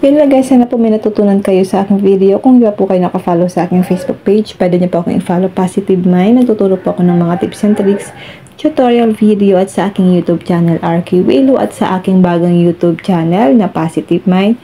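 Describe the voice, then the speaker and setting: A woman's voice: medium pitch, fairly quick, warm tone, slight background noise, rough recording. A Filipino woman hosting a step-by-step phone tutorial, addressing viewers politely as she gives instructions. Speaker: tutorial host.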